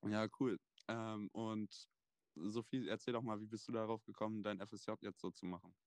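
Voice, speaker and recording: A man of twenty hosting a casual chat, relaxed and thoughtful with plenty of fillers. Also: fairly low pitch, normal speed, dry recording, studio quality. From Germany. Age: 20s. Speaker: podcast host.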